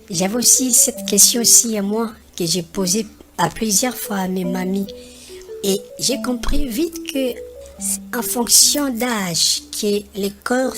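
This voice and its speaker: An affectionate, wise cartoon grandmother in her seventies played by a woman speaks slowly to her grandchildren. Her voice sits fairly high, with music clearly playing behind it. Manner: slow, deliberate storytelling to children. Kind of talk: animated film dialogue.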